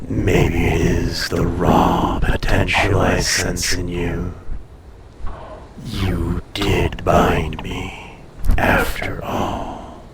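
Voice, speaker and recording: Man voicing a deep, slow, seductive ancient dragon. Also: background noise, exaggerated voice. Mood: menacing, musing. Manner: unhurried, teasing, condescending, often breathy and hushed. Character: ancient male dragon, proud, seductive, teasing, dangerous. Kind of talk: slow intimate roleplay audio acting.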